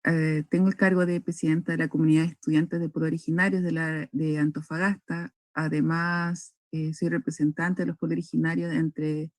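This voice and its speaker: Dry, measured young woman speaker. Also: low voice, flat delivery, dry recording. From northern Chile. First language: Aymara. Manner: measured, with frequent hesitations and restarts. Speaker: invited speaker at a cultural forum. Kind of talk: formal talk.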